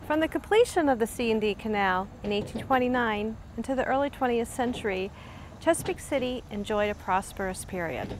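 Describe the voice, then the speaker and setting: High-pitched American woman presenting. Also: a little hiss or hum. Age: middle-aged. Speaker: host, librarian. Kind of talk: scripted travel presenting to camera. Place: outdoors in a small waterfront town.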